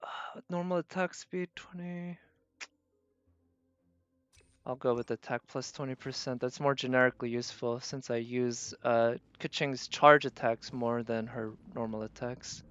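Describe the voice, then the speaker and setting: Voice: medium pitch, a little slow, a bit bright, smooth, no room sound, highs cut off. A game livestreamer giving casual, off-the-cuff commentary while playing, talking to themself and swearing mildly. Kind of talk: casual live gameplay commentary.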